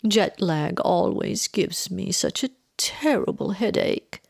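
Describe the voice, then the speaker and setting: A woman's voice: medium pitch, lively intonation, takes it slowly, vocal fry, darkish tone, breathy edge, no echo, studio quality, pitched above the performer's own voice, heavily acted. A woman voicing a haughty, affected, wealthy socialite mother in a theatrical, swooning manner for a storybook. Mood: affected, condescending.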